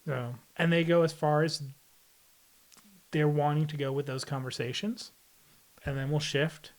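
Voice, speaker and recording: Guy being interviewed on a podcast, kinda higher voice, explains stuff plainly and thoughtfully. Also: fairly even intonation, measured pace, rough recording. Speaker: podcast guest, air traffic controller. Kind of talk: candid interview conversation.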